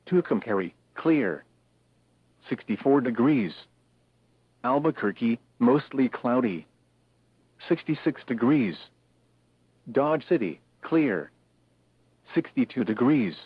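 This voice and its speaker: Slow synthetic male voice on tinny radio. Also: mid-range voice, husky, dry recording. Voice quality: synthetic text-to-speech voice. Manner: measured, clipped, impersonal readout of short phrases. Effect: radio broadcast. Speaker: automated weather radio announcer. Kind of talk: scripted weather bulletin readout. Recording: tinny, narrowband weather radio broadcast.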